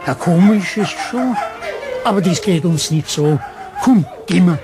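A man's voice: high voice, expressive, measured pace. A man playing a reluctant, dismissive caretaker in a radio play, with a Bavarian accent. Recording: music playing behind the voice.

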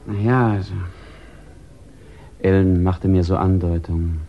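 A man's voice: sits low, steady tone. An actor playing a discreet, reluctant businessman giving guarded testimony in a 1960s German radio crime drama. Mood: uneasy, confidential. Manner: guarded, hesitant, measured. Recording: dull 1960s radio recording.

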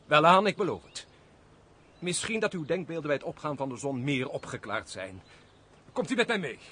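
A man acting an eager, insistent gentleman in a radio play, speaking formally and emphatically in old-fashioned theatrical Dutch style. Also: on the high side, sing-song, moderate pace, slightly rough, exaggerated voice. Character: hearty, insistent gentleman friend, eager suitor.

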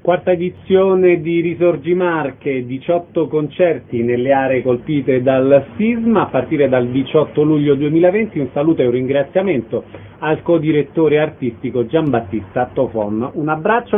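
Male radio interviewer, fairly high voice, presenting style, thin phone-like audio. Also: lively intonation, normal speed.

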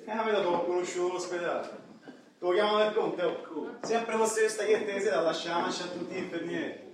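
Actor as a high-voiced brash Roman borgata guy, echoey. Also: fairly quick, close to an everyday voice. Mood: confessional, subdued. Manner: colloquial, emphatic, punctuated with rhetorical tags. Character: brash young Roman borgata bodybuilder and ex-dealer, boastful, confessional. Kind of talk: theatrical monologue in street slang. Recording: echoey hall, distant mic, audience noise.

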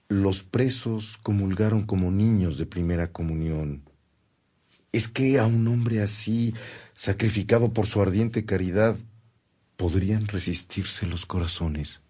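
Low, slow, devout priest. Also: male voice, mellow, slightly rough, exaggerated voice. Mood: humble, earnest. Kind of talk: radio drama acting. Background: background music.

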